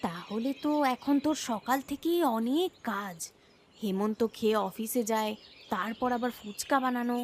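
A woman's voice: fairly high pitch, normal speed, slight background noise, close to an everyday voice. A woman voicing a chatty, approving visiting sister-in-law, familiar and inquisitive, in a cartoon moral story.

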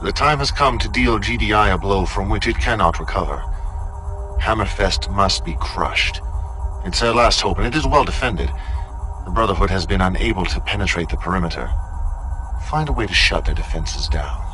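A man playing a calm, commanding cult leader, speaking in a measured, quietly menacing way. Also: low-pitched, soft background music, poor audio. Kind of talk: game cutscene dialogue.

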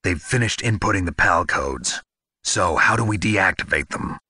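An actor playing a gruff, terse covert soldier in a video game, with a gravelly, husky voice. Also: male voice, sits low, expressive, normal speed, pushed and bright, dry recording, studio quality, exaggerated voice. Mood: terse, puzzled. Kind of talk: dramatic video game voice acting.

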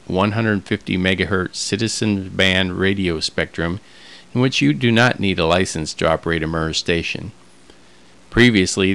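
Low male voice, clean resonant tone, scripted product narration, measured delivery. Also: a bit bright, clear, resonant tone.

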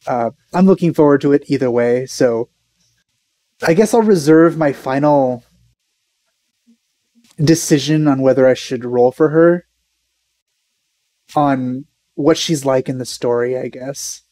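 A man's voice: medium pitch, a little slow, long pauses, mellow, no room sound. A young man giving casual reaction commentary in a laid-back, musing way. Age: young adult. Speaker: reaction video host.

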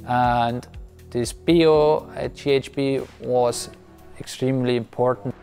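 A man talks through an installation in halting English, full of fillers and restarts. He speaks into a lapel mic in an equipment room, and faint music plays underneath. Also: medium pitch, fairly even intonation, warm tone. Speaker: electrical installer. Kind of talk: interview.

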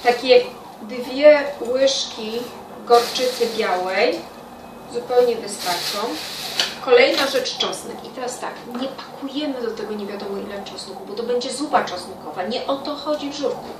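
Echoey noisy kitchen, middle-aged woman, cooking tutorial, explanatory, pausing mid-sentence. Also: a little high. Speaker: home cooking show host. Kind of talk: informal cooking tutorial to camera. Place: small home kitchen by a window, indoors. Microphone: camera on counter a step away. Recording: distant mic, echoey room, loud kitchen noise.

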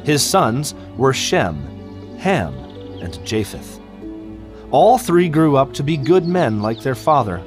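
A man narrating a children's Bible story from a script, in a measured, expressive way with careful phrasing. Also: mid-range voice. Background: music.

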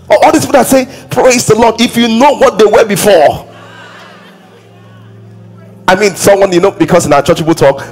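A Nigerian man preaches from a large church stage, his slightly higher voice carrying a husky, breathy edge. He swings between quiet asides, acted-out quotes, half-sung lines and shouted exclamations. Soft music sits faintly beneath him in the live hall. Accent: Nigerian English. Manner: animated, acts out quoted voices, breaks into singing and shouting. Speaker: pastor. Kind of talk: sermon.

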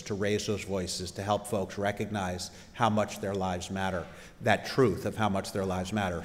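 Man, fifties, lower pitch, hesitant conference speech, podium mic, long hall reverb. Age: 50s. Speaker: keynote speaker. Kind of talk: conference presentation. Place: large indoor conference hall stage. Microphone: podium microphone.

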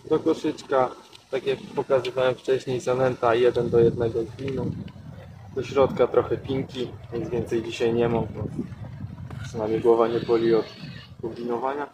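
A man's voice: mid-range voice, little pitch movement, normal speed. A young man casually talking through his fishing session outdoors by a canal, explaining his gear step by step. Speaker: angler vlogger. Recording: outdoor noise, nature sounds behind.

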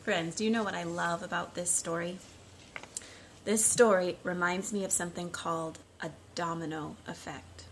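A woman in her thirties reading and talking to young children in simple, expressive storytime phrasing, recorded on a phone at home. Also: on the low side, normal speed. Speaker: children's storytime reader and teacher. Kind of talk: storytime read-aloud and talk to young children. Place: living room at home, indoors. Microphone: phone at about arm's length. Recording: noisy homemade phone recording.

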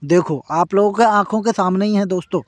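A man's voice: high-pitched, fairly quick, no room sound. A man narrating a casual travel vlog from a moving vehicle, chatty and repeatedly addressing his viewers as friends. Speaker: vlogger.

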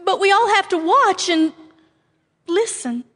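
An actress playing a frightened farm wife who pleads in a trembling, tearful voice in a radio drama. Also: female voice, very high voice, expressive, measured pace, fairly bright tone, full character voice. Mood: desperate, tearful. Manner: pleading, trembling, breaks into sobs. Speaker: farmer's wife.

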